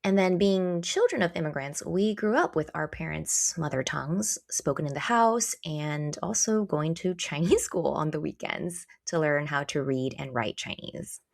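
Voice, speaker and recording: A woman's voice: sits low, animated, normal speed, gravelly, hardly any pauses. An American woman, a pharmacist co-hosting a podcast, chatting casually with a hesitant, filler-heavy manner. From United States.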